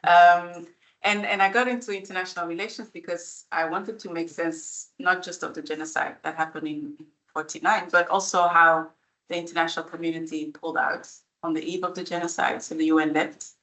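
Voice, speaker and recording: A woman's voice: fairly low pitch, fairly even intonation, brisk, a bit bright, rough recording. A woman, an international relations academic, thinking aloud in a panel discussion with many restarts. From Belgium. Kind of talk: panel discussion at a book event.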